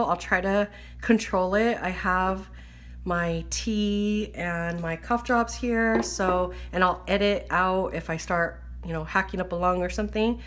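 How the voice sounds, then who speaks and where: A woman's voice: medium pitch, a bit bright. A woman chatting casually about her planner while recovering from an illness, her voice slightly wheezy, with lots of fillers. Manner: chatty, filler-heavy, apologetic. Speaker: planner vlogger. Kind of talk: casual chat. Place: at a table indoors.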